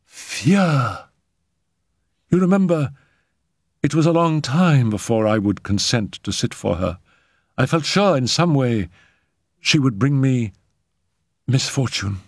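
A man's voice: mid-range voice, expressive, a little slow, pauses often, no room sound, studio quality, pitched above the performer's own voice. A man voicing an exhausted, anxious woman who pleads with agitated, emphatic repetition in a dramatic story reading. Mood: fearful, impatient. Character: anxious, exhausted, high-strung Frenchwoman, a spirit medium.

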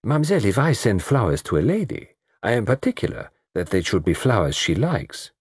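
A man voicing a gallant, shrewd middle-aged detective with a French accent, speaking in courteous, measured, formal phrases in an audiobook story. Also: lowish voice, big pitch swings, breathy edge, no echo, studio quality.

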